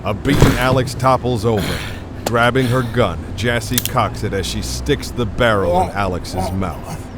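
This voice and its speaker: A man's voice: medium pitch, a little slow, heavy background noise. A man narrating a scripted action drama as its storyteller. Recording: very loud music behind the voice. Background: very loud music.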